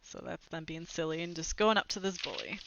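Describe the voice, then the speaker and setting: A young woman reading a storybook aloud into a headset mic, expressive, with brief explanatory asides. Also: sits low, brisk, vocal fry, a little hiss or hum. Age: young adult. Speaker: read-aloud presenter. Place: small room at home, indoors. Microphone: headset boom mic. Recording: slightly dull, lo-fi headset sound.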